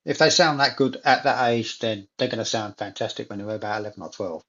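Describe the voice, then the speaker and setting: A man's voice: lowish voice, fast, fairly bright tone, muffled recording. A man in a casual chat, talking enthusiastically and repeating phrases for emphasis.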